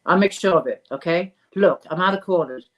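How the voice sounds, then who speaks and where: A woman reading the part of a warm, hurried adult daughter in a storybook, brisk and affectionate. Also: fairly low pitch, dull audio, lightly acted.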